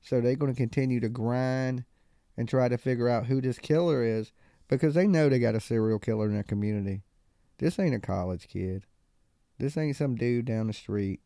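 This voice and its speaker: A man's voice: medium pitch, normal speed, dark tone, dry recording, studio quality. A man hosting a live call-in stream, talking loosely and emphatically in casual slang. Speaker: live call-in show host. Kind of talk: casual live-stream talk about crime cases.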